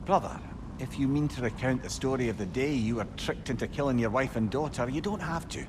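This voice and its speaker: A man's voice: mid-range voice, fairly quick, background noise, lightly acted. A man voicing a chatty, sympathetic and inquisitive companion in a video game. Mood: concerned, gentle. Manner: lively, probing, gentle. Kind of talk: dramatic video game voice acting.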